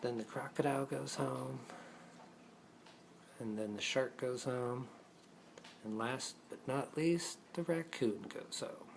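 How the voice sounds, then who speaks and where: A man's voice: medium pitch, slow pace, gravelly, pauses often, slight background noise. A man improvising playful puppet show narration, chatting casually as if to the puppets, in an amateur recording.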